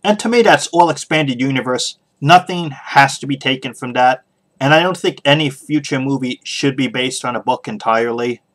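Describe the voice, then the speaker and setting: Man, podcast host, casual solo commentary, halting delivery, frequent pauses, medium-pitched voice. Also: fairly bright tone. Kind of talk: casual solo opinion talk.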